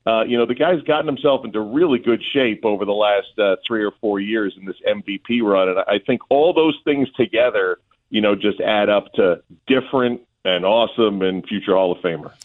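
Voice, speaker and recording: A man's voice: on the low side, a little fast. A man guesting as a play-by-play announcer, giving casual sports analysis over a narrow, phone-like connection. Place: remote link from an arena. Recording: narrow tinny phone-like line.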